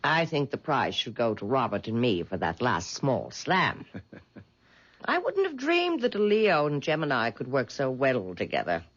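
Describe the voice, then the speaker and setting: An actress playing a fussy older spinster devoted to horoscopes, fluttery and plaintive, in 1970s radio drama style. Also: female voice, very deep voice, wildly swooping pitch, a little slow, heavily acted. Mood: insistent, fluttery. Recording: dull 1970s radio broadcast.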